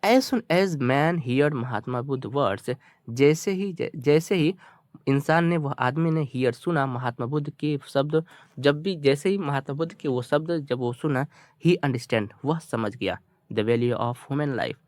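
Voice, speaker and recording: A man's voice: mid-range voice, animated, takes it slowly, no echo, studio quality. A man with an Indian accent teaching through a story, explaining phrase by phrase with repetition and checking questions. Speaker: English teacher. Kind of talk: bilingual storytelling lesson, translating line by line.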